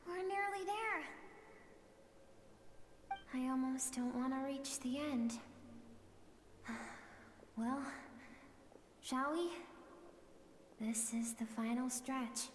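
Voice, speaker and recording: An actress playing a gentle, wistful storyteller in a video game, speaking softly and solemnly. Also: female voice, high-pitched, a little hiss or hum. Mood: tender, hesitant. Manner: soft, measured, solemn. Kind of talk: scripted video game acting. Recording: reverberant game audio with music underneath. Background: game music.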